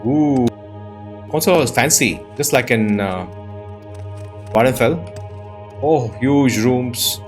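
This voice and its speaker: A man's voice: mid-range voice, slow pace, long pauses, soft background music. A man giving casual game commentary, musing aloud and exclaiming at the sights. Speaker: let's player and show host.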